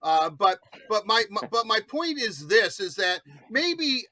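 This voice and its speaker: High, very bright American man, dry sound. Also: sing-song, unhurried. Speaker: talk show co-host. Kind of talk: casual chat, sports interviewing.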